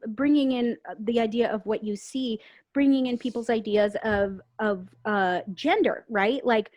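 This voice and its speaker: A woman from Puerto Rico, a guest on a podcast and an actor, telling personal stories candidly, animated and emotional, acting out the voices she quotes. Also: on the high side, moderate pace, dry recording. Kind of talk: candid conversational storytelling.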